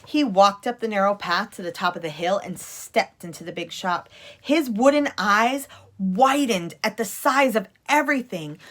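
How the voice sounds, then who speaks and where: A woman's voice: on the high side, a bit bright. A woman reading a children's storybook aloud expressively, like a teacher at story time.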